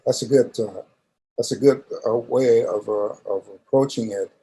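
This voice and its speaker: An older man in a casual interview conversation, talking in a halting, rambling, folksy way. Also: mid-range voice, steady tone, warm tone, low-quality recording.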